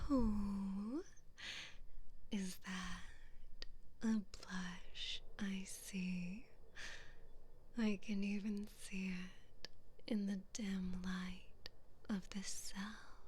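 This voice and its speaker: A woman voicing a haughty, teasing evil queen in a breathy, condescending whisper for an ASMR roleplay. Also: medium pitch, a little mellow, slight background noise. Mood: mocking, amused. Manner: slow, drawn-out, condescending, seductive.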